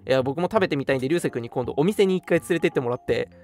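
A man's voice: medium pitch, expressive, a little mellow. Guy co-hosting a casual radio chat, voice moves up and down a lot, bit of music behind. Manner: frequent quick agreeing backchannels. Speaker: radio show co-host. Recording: music playing under the talk. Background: background music.

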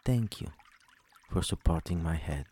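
A man leading a guided sleep meditation, speaking slowly and soothingly with long pauses between phrases. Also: deep voice, lively intonation, mellow.

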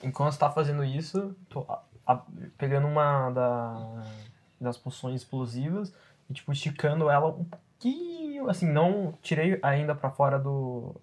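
A man's voice: a little high, expressive, moderate pace, a little mellow. A Brazilian man talking casually while playing a tabletop role-playing game. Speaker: player.

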